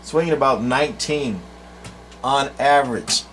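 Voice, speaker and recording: An older man giving a casual, unscripted equipment demo in a rambling, folksy, halting way with long pauses. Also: mid-range voice, a bit bright, slight background noise. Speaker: presenter, CB radio collector.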